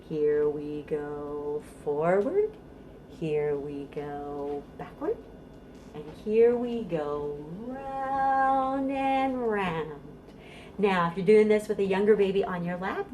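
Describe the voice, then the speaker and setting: A woman's voice: lowish voice, warm tone, slight background noise. A middle-aged American woman leading a storytime for babies as a children's librarian, giving instructions and reciting rhymes in a sing-song, encouraging way.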